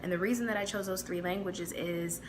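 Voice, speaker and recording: A woman's voice: fairly low pitch, brisk, noisy background. A woman with a Midwestern American accent, chatting casually to camera with plenty of fillers. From United States. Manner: relaxed, chatty, many fillers. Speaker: vlogger.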